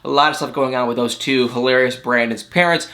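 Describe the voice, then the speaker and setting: A man's voice: medium pitch, fairly quick, pushed and bright. A man hosting a reality TV recap, chatting in a gossipy, exasperated and dramatic way. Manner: exaggerated, exasperated, dramatic retelling with mimicked dialogue. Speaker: host of a reality TV recap channel.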